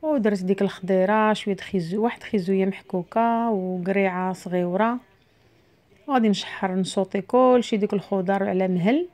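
A woman's voice: mid-range voice, fairly even intonation, a little fast, darkish tone. A middle-aged woman with a Moroccan Arabic accent, chatting through each cooking step in a home cooking voice-over. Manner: chatty, explains each step as she cooks. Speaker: cooking vlogger.

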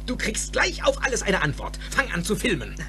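An actor playing an excitable, eccentric older inventor in a German audio drama, speaking in a theatrical, emphatic way. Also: male voice, sits high, a little fast, heavy background noise. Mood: eager.

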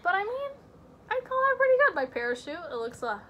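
A woman's voice: very high voice, very animated, quick pace, slight background noise. A teenage girl hosting a casual home vlog, chatty and joking, recorded on a computer camera mic in a room at home. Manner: chatty, joking, self-mocking asides; drops to hushed whispers while showing things up close. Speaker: vlog host. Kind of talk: casual chat. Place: indoor home room with shelves.

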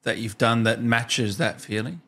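A man's voice: mid-range voice, measured pace, a bit bright. A man in his thirties hosting a casual interview, asking questions in a chatty way from a small studio. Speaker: interview host. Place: small indoor home studio. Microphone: desk microphone with headset.

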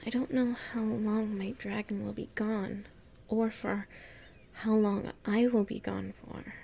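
A woman acting a clumsy, anxious young woman in an audio roleplay, murmuring and thinking aloud in a halting, breathy way. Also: mid-range voice, little pitch movement, warm tone. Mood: worried musing.

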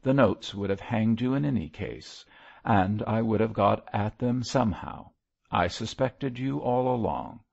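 A man's voice: lowish voice, animated, unhurried, creaky, darkish tone, muffled recording, exaggerated voice. A man voicing a stern, pious old missionary in an audiobook, solemn and exhorting. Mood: cool, stern. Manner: solemn, exhorting, declamatory prayer. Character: wary old male zealot missionary, stern, fervently pious. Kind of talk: audiobook character voice.

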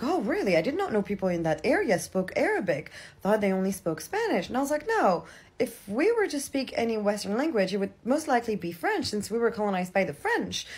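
Young woman from algeria, husky swoopy voice, annoyed storytime from bed. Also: on the high side. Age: young adult. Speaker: TikTok storyteller. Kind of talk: storytime to camera. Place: lying in bed indoors. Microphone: phone at arm's length.

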